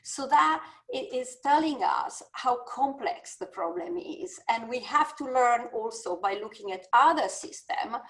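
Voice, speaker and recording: Italian woman dean, halting and thoughtful. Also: on the high side, expressive, fairly bright tone, low-quality recording. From Italy. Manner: thoughtful, searching for words with many fillers. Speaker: business school dean. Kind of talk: panel discussion.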